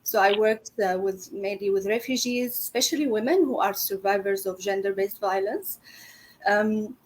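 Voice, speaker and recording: A woman's voice: mid-range voice, normal speed, poor audio. A middle-aged woman from Syria, a business founder telling her story in an interview, earnest with many fillers and restarts.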